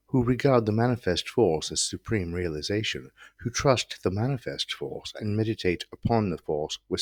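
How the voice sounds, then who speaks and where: A man reading scriptural quotations solemnly, with stately, deliberate and emphatic phrasing. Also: low-pitched, no room sound, studio quality. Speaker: reader of quotations.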